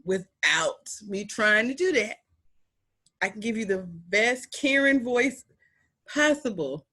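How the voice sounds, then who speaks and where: A young woman with a deep voice hosting a podcast, chatting in an animated, emphatic way with playful repetition. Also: slow pace, breathy edge. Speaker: podcast host. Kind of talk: casual chat.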